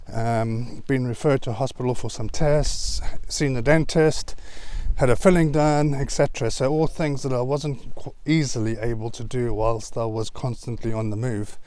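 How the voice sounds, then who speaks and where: A man with a South African accent talking casually in a vlog, working through points in a measured, conversational way. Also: mid-range voice, steady tone, darkish tone. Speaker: vlogger. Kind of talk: casual vlog talk to camera.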